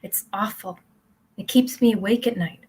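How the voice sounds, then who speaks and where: A woman's voice: medium pitch, moderate pace, darkish tone, husky, lightly acted. A woman playing a pious, self-congratulating mother who prays aloud in an earnest, rambling, confiding way in a play reading. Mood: earnest, solemn.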